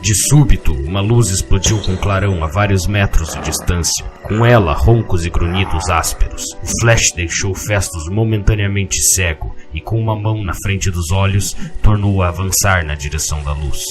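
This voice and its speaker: A man's voice: deep voice, moderate pace, hardly any pauses. A man with a Brazilian accent narrating a scripted storybook tale. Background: music with guitar.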